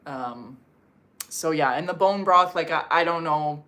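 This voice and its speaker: A woman's voice: deep voice, a little slow, fairly bright tone. A woman from Canada hosting a casual livestream from home, reading and answering viewer comments in a chatty way, with lots of "like" fillers. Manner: chatty, filler-heavy with frequent "like". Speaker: livestream host. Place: home in Portugal.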